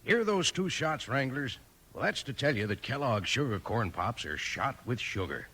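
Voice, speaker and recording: A man's voice: mid-range voice, big pitch swings, normal speed, background noise. A man delivering a 1950s radio commercial in folksy cowboy sales patter. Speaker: commercial announcer. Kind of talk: 1950s radio advertisement pitch.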